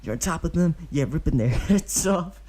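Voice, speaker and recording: A man reading aloud and voicing a boastful, excitable twelve-year-old boy ninja who keeps tacking on "yeah" and "hmm?". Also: a little high, normal speed, husky, pitched above the performer's own voice. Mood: excited boast. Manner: boastful, eager, ends lines with "yeah" or "hmm?".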